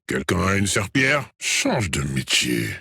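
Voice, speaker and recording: A man playing a cocky, cool video game agent, delivering short tactical lines with confident swagger in a husky, raspy voice. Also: sits low, very animated, measured pace, bright, forceful tone, no echo, studio quality, exaggerated voice. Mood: relaxed, cocky.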